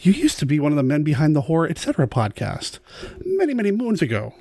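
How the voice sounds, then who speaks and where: A man's voice: on the high side, huge pitch swings, quick pace, dark tone, breathy edge, no room sound. A man hosting a podcast interview in a casual, chatty style. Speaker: podcast host.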